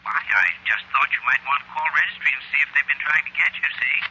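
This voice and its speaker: Bright, chatty hotel manager man. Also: on the high side, quick pace, slightly rough, full character voice. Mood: apologetic, explaining. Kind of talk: old-time radio drama acting. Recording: hissy vintage radio, telephone-line sound.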